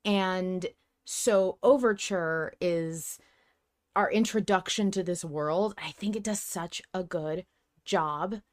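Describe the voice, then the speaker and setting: Excitable woman hosting a fan podcast. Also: on the low side, slow. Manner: excitable, effusive, self-deprecating. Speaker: podcast host. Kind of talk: casual fan podcast chat.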